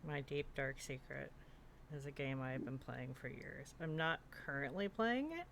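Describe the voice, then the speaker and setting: A woman in casual panel chat, speaking haltingly and correcting herself as she goes. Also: extremely low pitch, animated, gravelly. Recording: faint background noise.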